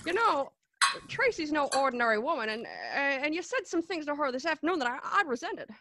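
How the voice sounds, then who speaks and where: A woman's voice: high voice, lively intonation, brisk, bright, forceful tone. A woman playing a drunk, romantic male writer in a radio play, stammering, hiccuping and repeating words. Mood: earnest, drunk. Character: tipsy, rambling, romantic young male writer.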